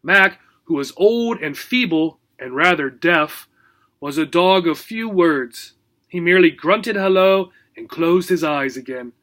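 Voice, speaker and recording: A man's voice: fairly high pitch, lively intonation, measured pace, a bit bright. A man narrating a children's book as a teacher, expressively and with theatrical emphasis.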